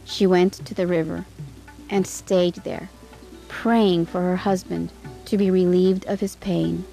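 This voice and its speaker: A woman narrating a scripted fairytale for children in an expressive, dramatic way. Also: on the low side, unhurried, gravelly, soft background music, rough recording. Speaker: storyteller.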